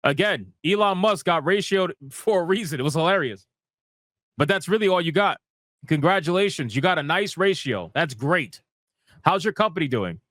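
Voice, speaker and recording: A man's voice: fairly high pitch, lively intonation, moderate pace, fairly bright tone, no echo, studio quality. A man giving casual, sweary, slangy commentary as a livestream host, emphatic and mocking, repeating phrases for effect. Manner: sarcastic, mocking. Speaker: host of a gaming news livestream.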